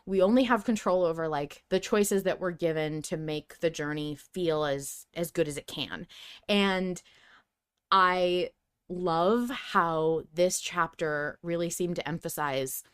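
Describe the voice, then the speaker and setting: A woman's voice: on the low side, unhurried, clear, resonant tone. A woman co-hosting a podcast, talking casually and emphatically as she shares her opinions as a fan. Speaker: podcast co-host. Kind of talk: casual fan discussion of an audio drama.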